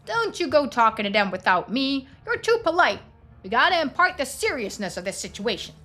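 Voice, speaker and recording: A woman performs a stern, judgmental matron from a story game. The tone is sharp and somewhat high, bitter and bossy, with faint music underneath. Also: sing-song, a little fast, full character voice. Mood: stern, condemning. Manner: blunt, judgmental, commanding. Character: stern, bitter, God-fearing small-town matron.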